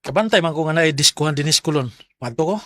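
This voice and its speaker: A man's voice: on the high side, expressive, fast, pushed and bright, no room sound, clean studio sound. A man with a Visayan Filipino accent playing a young, laid-back older brother who teases and banters in a radio drama. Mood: bantering. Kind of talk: Bisaya radio drama acting.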